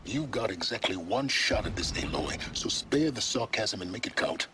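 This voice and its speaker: A man's voice: on the low side, lively intonation, normal speed, noisy background, natural voice. An actor voicing a secretive, scheming male guide in a game, speaking in a clipped, dry, commanding way.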